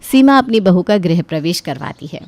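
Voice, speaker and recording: A woman's voice: fairly low pitch, lively intonation, normal speed, a little mellow, no echo. A woman giving scripted storytelling narration for a cartoon.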